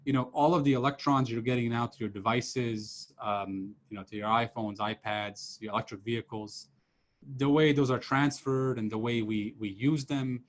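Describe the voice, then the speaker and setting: A man's voice: mid-range voice, steady tone, moderate pace. Serbian-born man lecturing over a video call. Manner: casual, many fillers and restarts. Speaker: professor giving a seminar. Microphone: computer mic on a video call, camera off.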